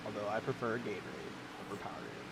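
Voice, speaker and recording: Casual race caller over loud engines. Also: male voice, on the low side, quick pace. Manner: casual, rambling, with asides. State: losing his voice, clearing throat. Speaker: race commentator. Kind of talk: sim racing play-by-play commentary. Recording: loud engine noise behind. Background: race car engine sounds.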